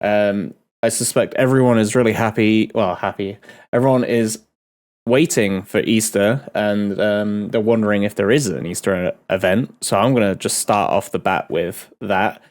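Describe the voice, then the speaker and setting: A man hosting a casual game developer Q&A, a bit ill and nasal, rambling with many pauses and restarts. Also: lowish voice, darkish tone. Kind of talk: casual dev talk Q&A.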